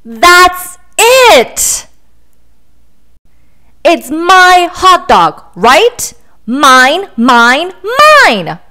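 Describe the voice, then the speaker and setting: A woman performs a self-important, possessive storybook pigeon who is easily exasperated. The high voice swoops theatrically and stretches words out for emphasis. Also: sing-song, drawn-out words, pauses often, bright, forceful tone, full character voice. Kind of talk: children's storybook read-aloud.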